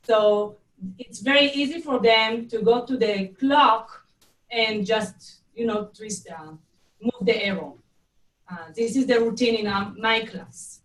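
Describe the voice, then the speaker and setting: A woman with a Hebrew accent speaks slowly and haltingly, searching for her English words. She sits well back from her mic, so her fairly high voice carries an echo from the room around her. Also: fairly bright tone. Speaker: preschool teacher taking part. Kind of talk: video call discussion. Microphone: video call, distant from the mic. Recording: echoey room, far from mic.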